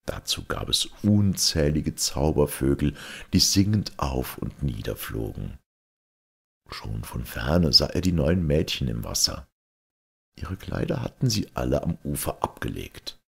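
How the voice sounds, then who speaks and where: A man reading a fairy tale as an expressive scripted narration, like an audiobook storyteller. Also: very deep voice, unhurried, vocal fry, husky.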